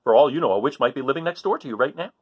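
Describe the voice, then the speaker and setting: A man reads a children's book aloud with great expression. His pitch swings widely and his voice has a rough, husky edge. Phrases are stressed theatrically and drawn out for suspense. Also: expressive, racing, dull audio. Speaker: storybook reader for children.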